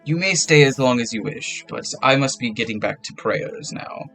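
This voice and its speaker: A man voicing an old male priest who speaks slowly and formally. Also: medium pitch, lively intonation, a bit bright, faint music behind. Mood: advising. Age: older. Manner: slow, formal, courteous.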